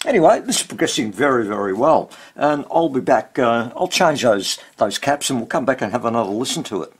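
An older man talks casually through a hobby repair at his bench. His voice is husky and unpolished, and his pitch swings widely. He speaks haltingly, half to himself. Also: big pitch swings. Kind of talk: casual hands-on commentary while working. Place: indoor home workbench.

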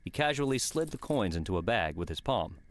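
A man's voice: lowish voice, sing-song, fairly quick. A man reading scripted audiobook narration of a story.

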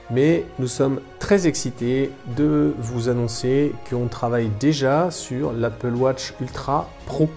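A man in his thirties parodies a product launch. He sounds smooth and corporate, then drops to snide muttered asides. His voice is dark and mellow, with soft music underneath. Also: mid-range voice. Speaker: channel host. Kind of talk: satirical mock product keynote. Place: living room, on a sofa.

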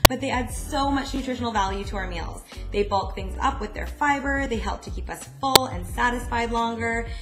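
A woman's voice: medium pitch, fairly bright tone. A woman in her thirties giving casual instructional narration as the host of a meal prep tutorial. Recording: echoey room with music underneath. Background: background music.

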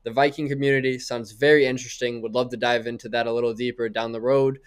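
A man's voice: mid-range voice, level tone, quick pace, clear, resonant tone. A young man hosting a podcast interview from a small room on a close mic, friendly and asking long, rambling questions. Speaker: podcast host. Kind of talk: casual interview.

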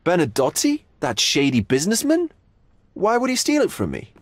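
An actor playing a languid, flamboyant art lover in a video game, speaking with arch theatrical drawl. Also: male voice, high-pitched, huge pitch swings, normal speed, no room sound, full character voice. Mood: arch amusement. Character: droll, languid, flamboyant male art aesthete. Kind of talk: video game cutscene acting.

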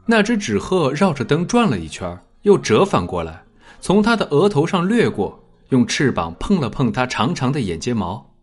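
A man's voice: mid-range voice, wildly swooping pitch, normal speed, breathy edge. A man narrating a novel as a scripted, dramatic audiobook. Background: background music.

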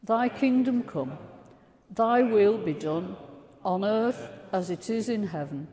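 An older woman with an English accent, a priest reciting liturgical prayers in a solemn, measured way. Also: mid-range voice, lively intonation, mellow. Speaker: priest leading a communion service. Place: large stone church, indoors. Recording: long church reverb.

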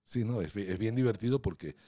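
A man in his sixties speaks with a very low, deep voice. He chats as a podcast guest, playful and full of stories. The sound is close and dry, from a studio mic on a boom arm. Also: fairly quick, warm tone, no echo. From Venezuela. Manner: playful, asks teasing questions, storytelling. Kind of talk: casual interview chat. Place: small home podcast studio. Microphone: studio mic on boom arm, headphones.

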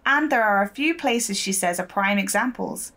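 A woman's voice: medium pitch, animated, moderate pace, sharp tone. A woman acting as a diligent young local newspaper reporter in a naturalistic audio drama, polite and inquisitive. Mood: earnest, keen.

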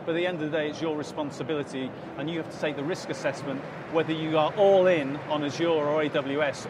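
A man in his forties, a company director, explaining his service in an interview on a busy exhibition floor, with frequent fillers and restarts. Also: on the high side. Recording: loud hall noise behind. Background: crowd chatter and hall noise.

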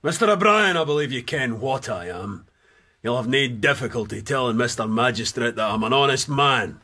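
An actor playing a self-assured Scottish stranger with a Scottish accent in a radio drama. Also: male voice, mid-range voice, expressive, moderate pace, sharp tone, slightly rough. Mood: commanding.

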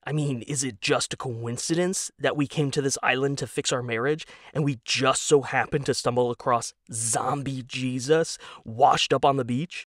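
A man's voice: medium pitch, steady tone, normal speed, hardly any pauses, a bit bright, no room sound, studio quality, natural voice. A man voicing a naive, eager husband in an audio drama, rambling earnestly with wide-eyed enthusiasm. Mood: hesitant, earnest. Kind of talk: audio drama character dialogue.

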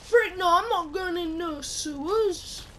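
A woman with a croaky voice giving chatty let's-play commentary, blurting out reactions and muttering asides. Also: very high voice, slow pace. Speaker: gameplay commentator. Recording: loud background noise under the voice.

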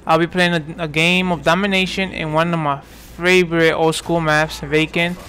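A man's voice: fairly high pitch, level tone, moderate pace, a bit bright, a little hiss or hum. A man in his twenties from New York giving casual, rambling commentary over gameplay, full of fillers and restarts. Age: 20s. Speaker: gaming commentator.

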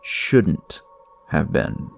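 A man narrating scripted horror fiction in a measured way, with dramatic pauses. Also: soft background music.

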